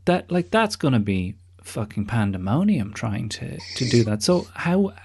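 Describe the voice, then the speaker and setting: A man's voice: medium pitch, big pitch swings, normal speed, a little mellow, a little hiss or hum. A man hosting a podcast interview in a wry, discursive, conversational way, with some casual swearing. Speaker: podcast host.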